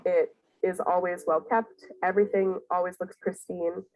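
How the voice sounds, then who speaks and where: Composed woman with a lower voice. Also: little pitch movement, normal speed, a little mellow, no room sound, poor audio. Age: 20s. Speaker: camp resource counselor. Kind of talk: testimonial interview.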